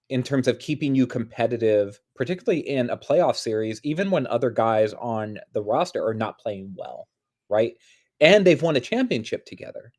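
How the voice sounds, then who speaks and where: A man with a middling pitch gives casual, analytical sports commentary. He explains tactics piece by piece in a conversational, co-host manner. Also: darkish tone. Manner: explanatory, thinks through tactics step by step. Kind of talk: casual analytical play breakdown.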